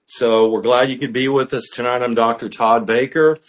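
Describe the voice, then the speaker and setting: A man's voice: medium pitch, level tone, moderate pace. A man, a pastor teacher, giving an earnest, emphatic livestream teaching with frequent "uh" fillers. He speaks through a built-in mic with no external microphone, in an indoor room with natural acoustics. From United States. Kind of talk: sermon-style livestream teaching.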